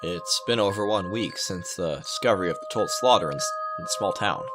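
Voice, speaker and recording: A man's voice: low voice, a little fast. A man hosting a gaming video, reading a visual novel aloud with casual commentary. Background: game music.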